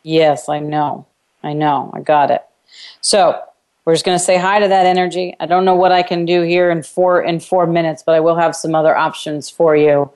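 Low-pitched woman hosting a call-in show. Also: level tone, normal speed, darkish tone, clear, resonant tone. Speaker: radio call-in show host and facilitator. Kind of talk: live coaching conversation with a caller.

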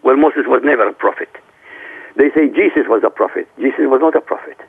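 Middle-aged man from Iraq, native Arabic speaker, gravelly, insistent talk show guest on a phone-quality line. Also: mid-range voice, brisk. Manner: emphatic, lecturing.

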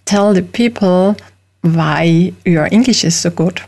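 A woman's voice: fairly low pitch, takes it slowly, vocal fry, darkish tone. A woman from Austria, a native German speaker with an Austrian German accent, hosting a radio talk show in careful, hesitant English.

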